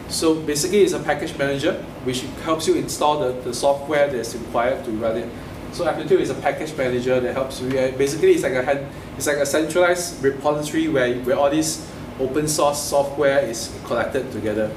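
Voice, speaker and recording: A man in his forties giving a casual hands-on tech talk at a meetup, explaining step by step and restarting phrases as he goes. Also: mid-range voice. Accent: Singaporean English. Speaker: meetup speaker. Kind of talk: live tech talk with slides. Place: meeting room with projector. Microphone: room camera mic from a distance. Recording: echoey room, distant mic, noisy.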